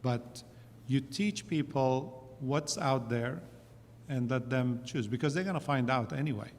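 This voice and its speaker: A man speaking in a measured, thoughtful way as a panelist in a discussion. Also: medium pitch. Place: church sanctuary. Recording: long church-hall reverb, faint noise.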